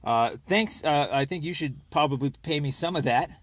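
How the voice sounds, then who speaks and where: A man's voice: on the high side, normal speed, no echo. American man leaving an insistent, aggrieved voicemail. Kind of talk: rambling personal voicemail monologue.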